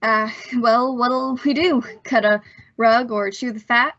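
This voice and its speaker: Hesitant, bright-voiced boyish young man played by a woman. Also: on the high side, steady tone, slow, no room sound. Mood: awkward. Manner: hesitant, stammering, folksy slang. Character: young man, awkward, apologetic, boyish, eager to please. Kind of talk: old-time radio suspense acting. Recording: slightly dull, muffled audio.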